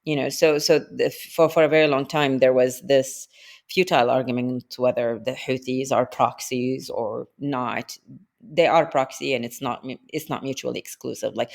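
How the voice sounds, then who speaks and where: A woman's voice: rumbling low, steady tone, normal speed, gravelly. A woman guest analyst in a podcast interview, explaining at length in a thoughtful way.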